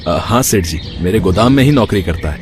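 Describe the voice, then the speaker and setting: An actor playing a kindly warehouse boss in a cartoon, familiar, conversational and authoritative. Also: male voice, low-pitched, animated, brisk. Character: kindly warehouse boss, casual with his worker.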